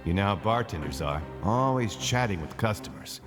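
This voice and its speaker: A man's voice: on the low side, expressive, moderate pace, lightly acted. A man playing a friendly, easygoing inmate and card game fan in a video game, chatty and affable. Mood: chatty, amused. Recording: game music behind the voice.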